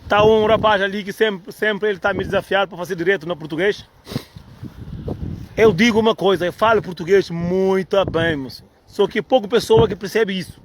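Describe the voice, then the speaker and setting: High-voiced man rambling outdoors on a noisy phone recording. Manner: rambling, repetitive, playful. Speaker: vlogger. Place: outdoors in the cold.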